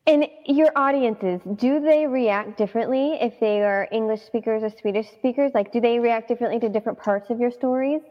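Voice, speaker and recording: A woman interviewer with a fairly high voice, asking curious, gentle questions and hedging softly. Her sound is dull and muffled, with a long reverb trailing behind it. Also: fairly quick, few pauses. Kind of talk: casual interview chat.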